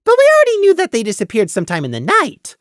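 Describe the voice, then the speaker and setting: A woman's voice: high voice, wildly swooping pitch, quick pace, no echo, clean studio sound, pitched above the performer's own voice. A woman voicing a cautious, earnest young male pony with a careful, hesitant manner in an audio story.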